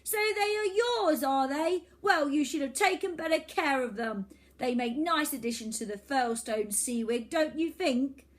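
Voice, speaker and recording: Storybook bully boy, pompous and shouty, pitched high; a woman puts him on. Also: expressive, measured pace. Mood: gloating. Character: boastful bullying boy villain, gleeful.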